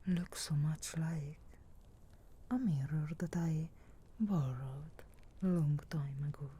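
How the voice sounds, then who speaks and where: A woman voicing a sarcastic, guardedly caring witch of the wilds in a slow, breathy whisper for an ASMR fantasy roleplay. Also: low voice, dark tone, exaggerated voice. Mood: teasing, reluctant care. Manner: slow, measured, archly formal phrasing.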